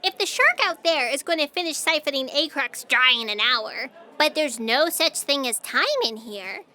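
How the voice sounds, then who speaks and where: A woman's voice: high voice, expressive, normal speed, pushed and bright. A woman playing a perky, eager, pleasure-loving young investigator in a comedic audio drama. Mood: puzzled.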